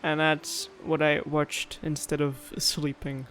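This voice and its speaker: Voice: mid-range voice, level tone, slow, fairly bright tone, a little hiss or hum. A gaming streamer giving casual let's play commentary, playful and rambling, often muttering to themselves. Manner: playful, rambling, talks to self. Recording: voice over game music.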